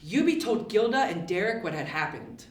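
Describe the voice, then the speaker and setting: A woman's voice: medium pitch, sing-song, normal speed, heavy background noise. A woman narrating a children's storybook as a scripted readalong. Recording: roomy, distant mic.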